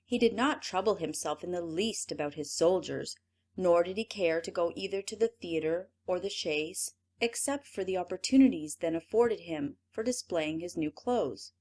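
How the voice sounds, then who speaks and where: A native English-speaking woman narrating a fairy tale audiobook in an expressive, measured way. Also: on the low side. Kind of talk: storybook narration.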